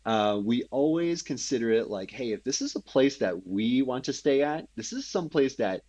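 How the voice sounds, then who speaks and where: A male podcast host who sounds keen and playful, his pitch jumping up and down a lot as he talks. The audio is dull and lo-fi. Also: moderate pace. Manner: eager, animated, playful. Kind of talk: casual interview chat.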